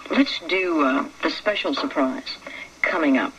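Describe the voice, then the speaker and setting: A man's voice: on the high side, expressive, measured pace, fairly bright tone, slight background noise. A man hosting a radio show in a casual live presenting style. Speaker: radio host. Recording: muffled old radio tape, off-mic.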